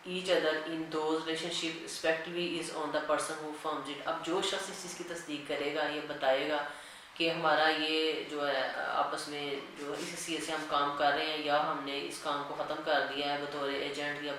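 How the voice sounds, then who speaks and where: A woman's voice: low voice, level tone, unhurried, a bit bright. A woman with a Pakistani accent giving a law lecture, reading statutes aloud and explaining them in a didactic way, repeating and rephrasing each point. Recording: echoey room, distant mic, faint noise.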